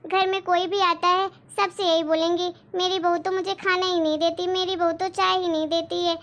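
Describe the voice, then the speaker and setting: Woman as an exasperated daughter-in-law, very high voice. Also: little pitch movement, moderate pace, fairly bright tone. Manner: exasperated, arguing, explaining at length. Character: exasperated young daughter-in-law, put-upon.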